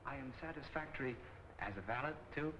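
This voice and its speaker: An actor playing a loyal, deferential Korean valet and inventor in a 1940 film serial, speaking in formal, precise phrases. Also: male voice, mid-range voice, brisk, natural voice. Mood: earnest, devoted. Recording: noisy old film soundtrack.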